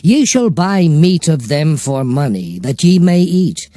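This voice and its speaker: A man's voice: a little high, sing-song, unhurried, mellow, dry recording. A man playing a solemn prophet who recounts God's commands in a dramatized scripture reading, with emphatic oratory. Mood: grave, commanding. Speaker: Bible narrator.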